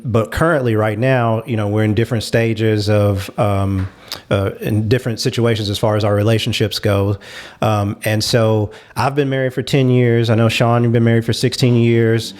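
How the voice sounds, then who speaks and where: A man speaks as the host of a panel talk show, at a middling pitch. He sounds earnest and conversational, working through his thoughts as he talks. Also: darkish tone.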